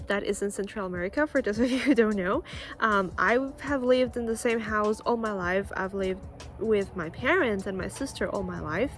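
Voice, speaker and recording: A woman's voice: medium pitch, moderate pace. A woman in her early twenties from Costa Rica, a native Spanish speaker, talks casually and earnestly to camera as she shares a personal story outdoors. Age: early 20s. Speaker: vlogger. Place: outdoors, school playground near a road. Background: music, possible passing cars and birds.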